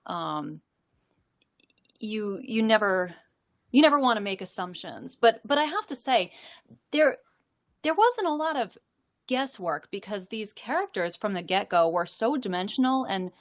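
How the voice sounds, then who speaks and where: Animated woman with eager restarts. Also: medium pitch, a little slow, pauses often. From central Florida. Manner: animated, stumbling restarts, frequent laughs. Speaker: audiobook narrator. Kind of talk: casual live chat.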